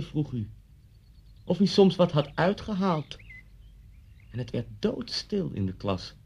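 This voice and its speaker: A man's voice: mid-range voice, vocal fry, darkish tone, breathy edge, heavily acted. An actor playing an intense, brooding man who confronts his old schoolmaster with vivid, pointed storytelling in a Dutch radio play. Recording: dull lo-fi radio play recording.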